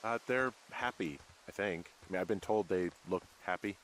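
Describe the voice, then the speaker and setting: An actor playing a laid-back middle-aged male fire lookout in a video game, American, casual and deadpan with a slightly defensive edge. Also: sits low, moderate pace, slight background noise, poor audio. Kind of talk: naturalistic video game dialogue.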